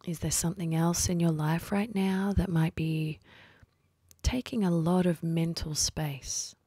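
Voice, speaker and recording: A woman leading a guided meditation in a soft, husky, breathy voice, speaking slowly and soothingly with long pauses. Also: lowish voice, fairly even intonation, no echo.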